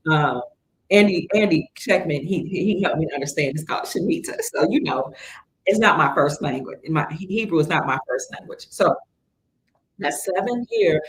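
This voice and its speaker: A woman from Cleveland hosting a livestream in a chatty, excitable way, reading viewer comments and greeting them as sister. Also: on the low side, low-quality recording. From Cleveland, Ohio. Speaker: livestream host.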